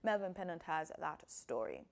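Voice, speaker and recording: A woman's voice: deep voice, sing-song, normal speed, creaky. A woman anchoring the news, reading scripted story introductions.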